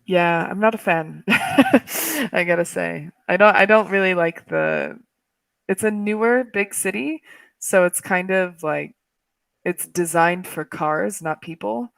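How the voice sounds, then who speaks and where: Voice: mid-range voice, slow, gravelly, a bit bright, dry recording. An English tutor chatting casually on a video call, laughing often and saying "like" a lot.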